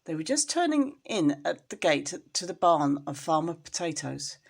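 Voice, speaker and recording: A woman's voice: low-pitched, very animated, normal speed, gravelly. A woman storyteller reading a children's storybook expressively, as if to a young listener.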